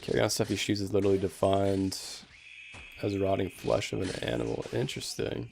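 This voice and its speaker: A man's voice: low voice, little pitch movement, brisk, gravelly, a little mellow, slight background noise. A young man streaming a game, giving easygoing commentary full of "like" fillers and answering chat questions. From Canada. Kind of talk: casual gameplay commentary.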